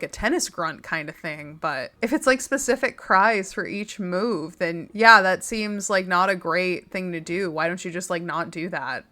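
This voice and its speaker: A young woman with a slightly raspy voice, reading game text aloud and joking about it as she plays. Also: mid-range voice, pushed and bright. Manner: chatty and wry, laughs often, reads text then jokes about it. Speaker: gaming channel host.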